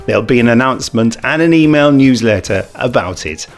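A man's voice: mid-range voice, expressive, fairly quick. Bumbling man co-hosting, faint music behind. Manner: bumbling, self-deprecating, chuckly. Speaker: podcast co-host. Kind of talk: casual, jokey podcast news chat.

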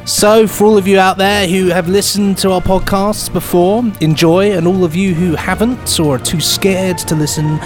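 Male podcast presenter, casual and rambling, lightish high register, soft music bed. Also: steady tone, moderate pace, few pauses. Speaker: podcast co-host.

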